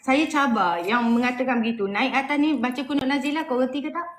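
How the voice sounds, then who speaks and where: A woman's voice: high-pitched, fairly quick. A woman with a Malaysian accent chatting casually as she hosts a live political discussion. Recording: echoey room, faint background noise.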